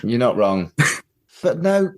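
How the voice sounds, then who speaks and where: A man's voice: medium pitch, very animated, slow pace, darkish tone. A man, chatting casually as a podcast co-host. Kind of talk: casual chat about a TV show.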